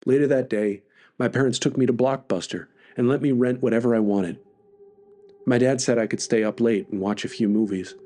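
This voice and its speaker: A man's voice: medium pitch, fairly even intonation, normal speed, warm tone, faint music behind. A man reading scripted first-person horror narration as a story podcast narrator.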